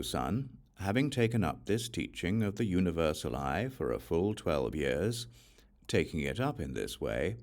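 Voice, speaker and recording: A man narrating a scripture audiobook in measured, solemn phrases. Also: deep voice, a little mellow.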